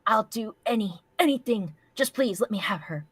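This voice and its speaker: A woman's voice: medium pitch, animated, normal speed, husky, dry recording. A woman voicing an earnest, defiant, wounded male cartoon pony in a story reading. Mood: desperate.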